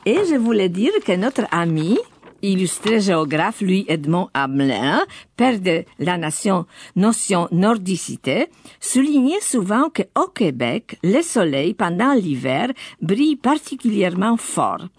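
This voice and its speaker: A woman's voice: medium pitch, big pitch swings, slightly rough, dry recording, studio quality. Older woman, careful tinged French. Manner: measured, careful, occasional hesitations. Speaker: radio studio guest. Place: studio.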